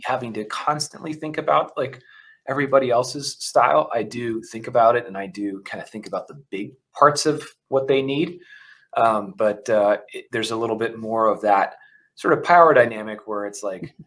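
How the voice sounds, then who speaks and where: A man's voice: medium pitch, normal speed, a bit bright. A man who runs a company, a guest in an interview, speaking candidly and thinking aloud with fillers. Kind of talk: interview conversation.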